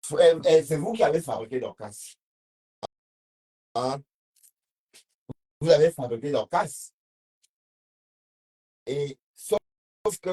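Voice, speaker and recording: A man's voice: medium pitch, darkish tone, breathy edge. A man with a Cameroonian French accent talking casually on a live stream, reading viewer comments and answering them in a rambling way.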